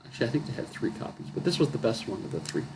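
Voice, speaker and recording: A man chatting casually about his comic book haul, his voice going hoarse and rough, clearing his throat often and correcting himself as he rambles. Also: mid-range voice, big pitch swings, rapid-fire, noisy background. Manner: rambling, self-correcting, chuckling. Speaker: comic book collector vlogger.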